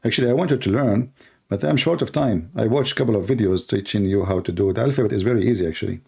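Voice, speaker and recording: A man from the Middle East, a native Arabic speaker with an Arabic accent, hosting an informal live call-in show in a sarcastic, mocking manner with halting phrasing. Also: fairly low pitch, gravelly, darkish tone, breathy edge. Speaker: host, religious apologist. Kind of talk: live call-in broadcast, rambling informal commentary.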